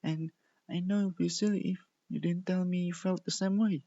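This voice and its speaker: A man's voice: high voice, fairly even intonation, moderate pace, dark tone, dry recording, highs cut off, pitched above the performer's own voice. A man voicing a playful, bashful young female pony in a story reading, with a girlish, teasing manner. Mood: bashful, hesitant.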